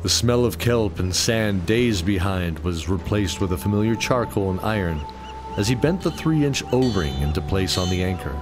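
A man's voice: sits low, lively intonation, normal speed, music in the background. A man reading scripted dramatic narration as the narrator of a fantasy story.

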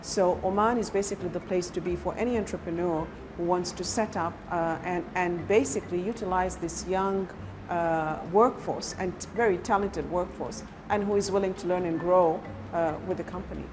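A woman's voice: lowish voice, normal speed, noisy background. A woman making an interview statement for a promotional film. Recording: music bed under voice.

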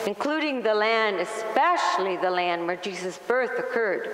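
A middle-aged woman pastor preaching a sermon from the pulpit in an earnest, measured way, with emphatic rises. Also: mid-range voice, a bit bright, a little hiss or hum. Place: large wood-paneled church sanctuary. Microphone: pulpit microphone, filmed by a far camera. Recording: echoey church hall from afar.

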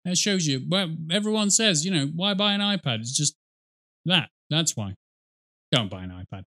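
A man's voice: fairly high pitch, lively intonation, moderate pace, pushed and bright. A middle-aged man giving casual, sarcastic reaction commentary into a close mic as a video host. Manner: sarcastic, dry joking. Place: small indoor streaming room. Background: game cutscene music and sound.

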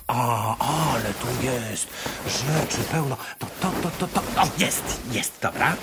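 An actor playing a curious, excitable young boy in a children's musical radio play, chatting and muttering to himself. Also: medium pitch, very noisy. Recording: music behind the voice.

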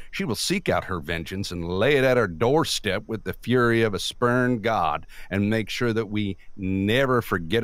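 An actor playing a weary, grieving middle-aged man in an audio drama, speaking in a blunt, halting way. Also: deep voice, dry recording. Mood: grave, blunt. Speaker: actor in a scripted podcast. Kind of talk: scripted audio drama acting. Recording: vehicle noise behind.

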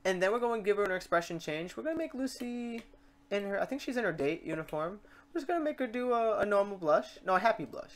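Casual tutorial person walking thru software, thinking out loud, middle pitch voice. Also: animated, quick pace. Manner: informal, thinking aloud. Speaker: tutorial presenter.

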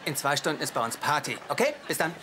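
A man's voice: on the high side, very animated, fast, sharp tone, a little hiss or hum. An awkward lovesick young man. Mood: scheming. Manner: flustered, eager, rambling. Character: nervous hopeful young man, awkward romantic. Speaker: dub actor. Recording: dubbed TV audio with music and laughter.

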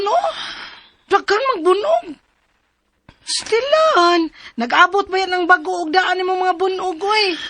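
An exasperated friend frets and scolds in a Cebuano radio drama. Her extremely high, bright voice has a Filipino accent and exclaiming delivery, recorded dry and close. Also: no echo. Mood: shocked concern. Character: scolding, shocked woman friend, exasperated and caring.

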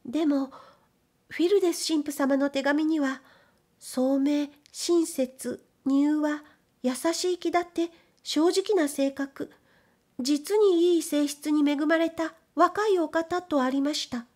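Storybook role: sincere, well-mannered young girl played by a woman, raised pitch, gently pleading. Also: slow. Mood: earnest protest. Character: earnest, polite young girl defending someone gently. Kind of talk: dramatic literary reading.

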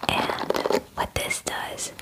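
A woman in her twenties whispering softly and breathily in a gentle, casual, chatty ASMR roleplay, close to the microphone. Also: very slow. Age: 20s. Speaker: ASMR roleplayer playing a werewolf spa groomer. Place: small dim room indoors.

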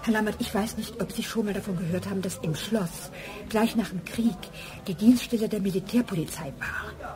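An actress playing a discreet, confiding secretary who speaks hesitantly in a German radio crime drama. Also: female voice, mid-range voice, fairly quick, a crowd in the background, natural voice. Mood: anxious, pleading. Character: discreet office secretary, confiding, apologetic, gossipy woman.

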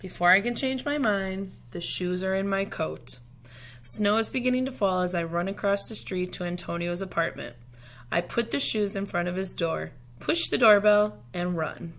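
A woman reading a children's picture book aloud like a teacher, with deliberate, dramatic phrasing. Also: medium pitch, fairly bright tone.

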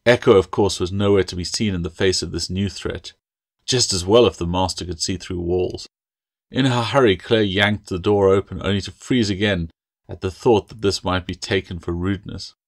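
A man's voice: low-pitched, moderate pace, creaky, no echo, clean studio sound. A man reading his own fantasy novel aloud as audiobook narration.

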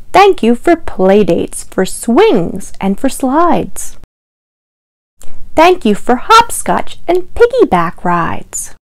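High, lilting young woman hosting children's storytime. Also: no room sound. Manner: slow, simple, sing-song delivery with questions to children. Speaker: children's librarian, storytime host. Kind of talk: children's storytime, reading aloud and prompting young listeners. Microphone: lavalier clipped to T-shirt.

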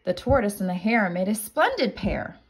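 A woman teacher reading a children's picture book aloud in an expressive, deliberate storytelling manner. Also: mid-range voice, husky.

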